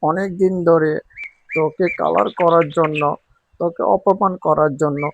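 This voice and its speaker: A man's voice: on the high side, steady tone, moderate pace, vocal fry, lo-fi sound, a little hiss or hum. A man voicing a spiteful, scheming cartoon bird who plots aloud in a gloating, menacing drawl.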